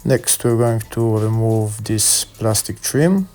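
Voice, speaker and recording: Low-pitched man, bright voice, hesitant instructions. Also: animated, slow, soft background music. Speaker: car repair video host. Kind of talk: step-by-step how-to narration.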